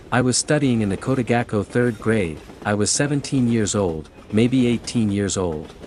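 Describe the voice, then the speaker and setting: A man from Mexico with a Mexican accent, Spanish his first language, slowly narrating a horror short story. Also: medium pitch, a little hiss or hum. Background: faint music.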